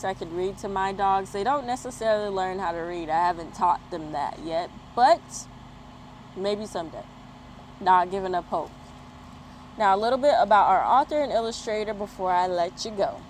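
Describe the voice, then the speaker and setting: An expressive woman speaks as the host of a children's story time, at a middle pitch. She sounds like someone sharing a picture book with young listeners. There is clear noise in the background. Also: low-quality recording. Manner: expressive, spells words out slowly.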